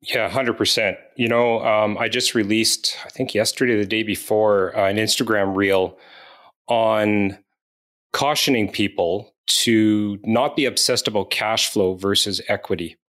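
A man's voice: lowish voice, fairly even intonation, measured pace, a bit bright. A man with a Canadian accent hosting a podcast, asking questions in a friendly, conversational way. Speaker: podcast host. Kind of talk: casual interview talk.

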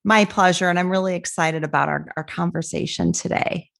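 Female podcast host, measured formal delivery, slightly low pitch. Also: steady tone, creaky. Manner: reads a long formal bio in a measured way. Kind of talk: scripted guest introduction.

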